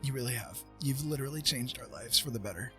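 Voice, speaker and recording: Male livestream host, mid-pitched, earnest and halting delivery, casual chat over faint music. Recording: music playing behind the voice.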